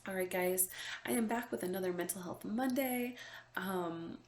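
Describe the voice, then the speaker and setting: A woman's voice: medium pitch, moderate pace, a little mellow, roomy sound. A young woman with a stuffy, congested voice chatting casually to camera as a vlogger, with lots of ums and likes. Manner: chatty, many ums and likes, laughs often. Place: room with window open, indoors.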